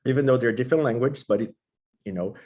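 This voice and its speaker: A man from Brazil with a Brazilian accent and Portuguese as his native language, talking informally as a composer about his work, haltingly searching for words. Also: medium pitch, a little mellow, muffled recording.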